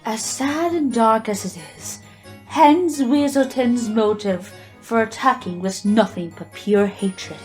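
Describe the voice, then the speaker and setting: An actress playing a somber, judging witness giving testimony in a courtroom audio drama. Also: female voice, a little high, slow pace, a little mellow, faint music behind, poor audio.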